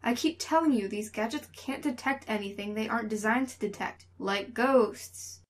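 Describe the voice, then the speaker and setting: A woman playing a skeptical, exasperated young woman with a dry, sarcastic, sharp-tongued way of talking in an audio story. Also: fairly high pitch, normal speed, natural voice.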